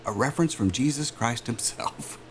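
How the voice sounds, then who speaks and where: A man playing a self-assured, smooth-talking aerospace executive in a naturalistic audio drama, with a polished, salesman-like manner. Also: medium pitch, expressive, unhurried, background noise. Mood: self-assured, explaining.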